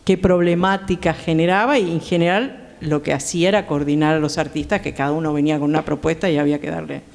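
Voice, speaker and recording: Female panel guest, Rioplatense accent, halting informal explanations, reverberant theatre acoustics. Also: deep voice, steady tone, creaky, a little mellow. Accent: Rioplatense Argentine. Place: theatre, live conversation.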